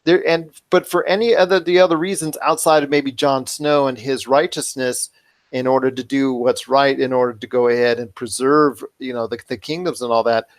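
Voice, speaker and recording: Male podcast host, average pitch, talky and emphatic, piling up points in an unscripted discussion. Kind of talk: conversational commentary.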